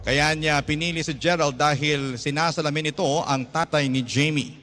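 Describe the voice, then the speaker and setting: A man's voice: a little high, steady tone, moderate pace, a little hiss or hum. A man narrating a letter-style story in an earnest, emotional manner. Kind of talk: scripted drama narration. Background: loud background music.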